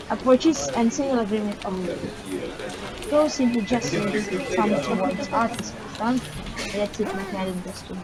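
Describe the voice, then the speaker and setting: A woman's voice: medium pitch, background noise. A woman reading a visual novel's first-person story aloud, sometimes stumbling over words.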